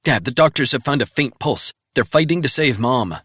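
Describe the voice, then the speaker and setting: A man's voice: medium pitch, lively intonation, moderate pace, fairly bright tone, breathy edge, no room sound, voice pushed up. A man voicing an anxious young adult daughter calling with urgent news, in a dry audiobook reading. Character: distressed young woman, worried daughter.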